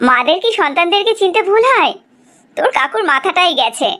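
A woman's voice: very high-pitched, animated, fairly quick, pushed and bright, heavily acted. A woman voicing a bossy but affectionate middle-aged housewife and mother in a cartoon family drama, with an emphatic, scolding manner. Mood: fond.

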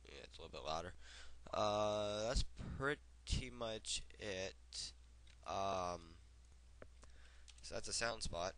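Young man with a head cold, very low voice. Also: slow pace, a bit bright. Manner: casual, rambling with fillers and restarts. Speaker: tutorial host. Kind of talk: casual screen-recorded tutorial.

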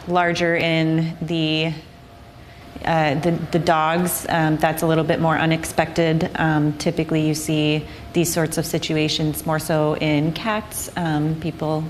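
A young woman from an animal shelter answers interview questions, pausing often with ums. Her voice is very low for a woman, and there is a faint hum of room noise behind her. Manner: hesitant with many ums. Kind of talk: news interview.

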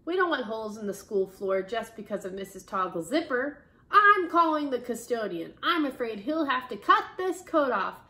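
A woman's voice: on the high side, sing-song, normal speed, hardly any pauses, pushed and bright, echoey room. A woman voicing a stern, pompous male school principal in a storybook reading. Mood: exasperated. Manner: commanding, formal, pompous.

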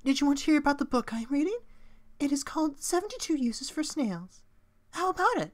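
Woman as a soft, formal motherly goat monster. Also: high-pitched, animated, brisk. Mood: eager, kindly. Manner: formal, careful, maternal diction. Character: gentle, solemn motherly goat monster caretaker. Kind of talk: video game character impression.

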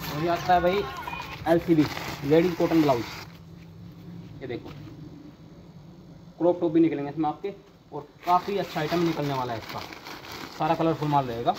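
Young male vlogger, high-pitched, pushy salesman patter, handheld phone in a big warehouse, faint background hum. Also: normal speed, pauses often. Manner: eager salesman patter, repeatedly urging viewers to look. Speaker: vlogger showing wholesale clothing stock. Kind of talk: sales walkthrough vlog. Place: large indoor warehouse full of clothing bales.

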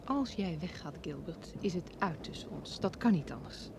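A woman's voice: on the low side, animated, moderate pace. An actress playing an insistent lover running off with a married man in an old Dutch radio play. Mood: cool, challenging. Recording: old radio recording, clear background noise.

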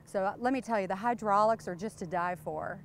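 A woman's voice: mid-range voice, fairly quick, a little hiss or hum, lightly acted. An American woman, probably in her thirties, playing a flirty, giggly, boastful glamorous wife in an improvised, gushing mock interview. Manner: coy, gushing, suggestive, giggly. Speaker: interviewee in character. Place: outdoors beside a lake.

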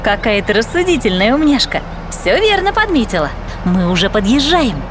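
A woman narrating a children's cartoon as host, explaining things in an animated storytelling way. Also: a little high, normal speed, music in the background.

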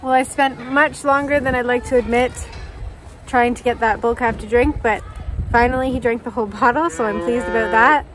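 A woman's voice: fairly high pitch, steady tone, moderate pace. A woman in her thirties giving casual vlog narration outdoors on a farm, recorded on a phone held at arm's length. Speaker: dairy farm vlogger and mother. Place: outdoors on a snowy farmyard. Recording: clear outdoor wind and vehicle noise.

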